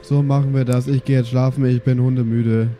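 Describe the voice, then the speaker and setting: A man's voice: medium pitch, level tone, fairly quick, mellow, faint music behind. A man in casual, playful chat as a player in a tabletop role-play.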